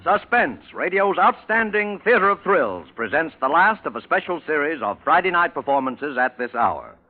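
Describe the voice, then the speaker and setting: A man's voice: a little high, big pitch swings, normal speed. A man announcing a radio show in a formal, portentous 1940s scripted style. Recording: old radio broadcast, phone-like band, music behind.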